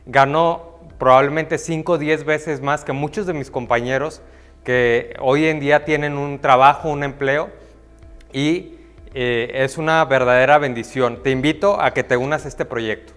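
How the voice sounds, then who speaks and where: Young man, measured delivery, reverberant room, music beneath. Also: medium pitch, steady tone, a bit bright, smooth. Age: young adult. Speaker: company testimonial speaker. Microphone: lapel mic.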